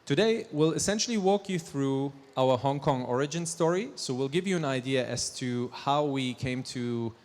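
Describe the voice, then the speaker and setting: A man in his thirties speaks on a conference stage into a handheld mic, at an ordinary middle pitch. He talks in a loose conversational way, with fillers and self-corrections. His voice rings with the long reverb of a large hall. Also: lively intonation, moderate pace, hardly any pauses, darkish tone. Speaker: company presenter. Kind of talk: conference presentation.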